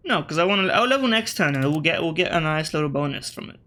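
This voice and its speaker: A man's voice: fairly high pitch, animated, quick pace, pushed and bright. A man from Australia with an accent shifted by British media, talking through a strategy game in casual commentary. He thinks aloud, corrects himself and tosses wry asides at his opponents. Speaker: gaming video creator.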